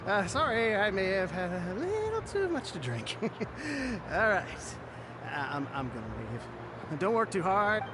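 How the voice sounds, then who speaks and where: A man playing a tipsy, nervous, evasive game character with a halting, jittery delivery. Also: high voice, very animated, background noise. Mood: sheepish.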